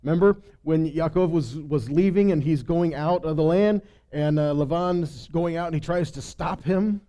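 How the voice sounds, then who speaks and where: A man with a slightly high, mellow voice teaches scripture in an informal sermon style. He tells the stories like a storyteller, paraphrasing the dialogue in everyday language. He often punctuates his points with short rhetorical check-ins. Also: moderate pace. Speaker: Bible teacher leading a weekly Torah study.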